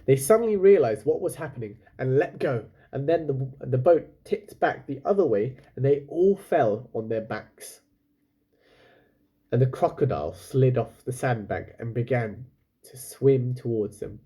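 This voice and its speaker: A man's voice: mid-range voice, expressive, a little slow, warm tone, slightly rough. A man reading a children's book aloud expressively, stopping now and then for casual, chatty asides to his listeners. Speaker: storyteller, reader.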